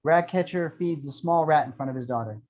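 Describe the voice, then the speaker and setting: Higher-pitched man narrating action, dull recording. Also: brisk, a little mellow. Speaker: reader of screenplay action lines. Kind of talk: scripted narration at an amateur table read. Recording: dull lo-fi sound.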